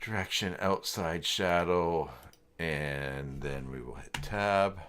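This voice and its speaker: A man hosting a casual live software tutorial, thinking aloud in an informal, unscripted way as he works through the steps. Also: sits low, slow pace, slight background noise. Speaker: livestream host and software tutor.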